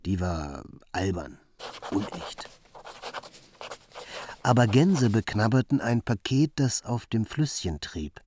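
A man's voice: lowish voice, sing-song, slow pace, long pauses, background noise. An actor playing a wry young bohemian man who recounts his wanderings in a musing literary radio monologue.

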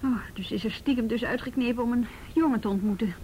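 An actress playing a brisk, persistent policewoman in a radio drama, with a probing, matter-of-fact manner. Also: fairly high pitch, warm tone, slight background noise, natural voice. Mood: dry, probing. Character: brisk, persistent policewoman questioning colleagues and a witness.

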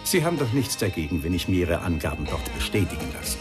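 An actor playing a sardonic, brusque police inspector in a German radio crime drama, with a dry, teasing, probing way of talking. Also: male voice, sits low, big pitch swings, fast talker, over music. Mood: politely probing.

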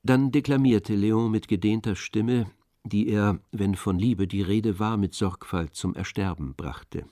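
A man narrating a literary audio drama in measured, polished storytelling phrases. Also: fairly low pitch, warm tone, no room sound, studio quality.

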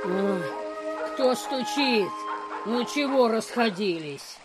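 An old servant woman from a country estate, scolds slowly and gruffly in folksy speech. She is suspicious of strangers, as in a radio play, with prominent music and effects behind her. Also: on the high side, expressive, low-quality recording. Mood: grumpy, suspicious. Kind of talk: audio drama acting.

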